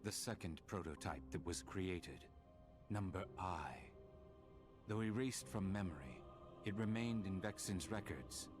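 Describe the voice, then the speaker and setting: An actor playing a grave, dutiful villain strategist in a video game, speaking in a formal, stoic, measured manner. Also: male voice, deep voice, lively intonation, over music. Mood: grave, explanatory. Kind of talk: dramatic video game cutscene acting.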